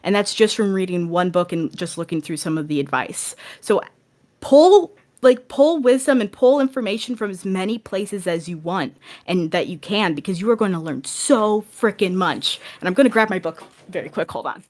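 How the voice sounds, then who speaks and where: A woman's voice: medium pitch, animated, normal speed, a little mellow. A woman talking casually and enthusiastically as a guest on a podcast, in an earnest way.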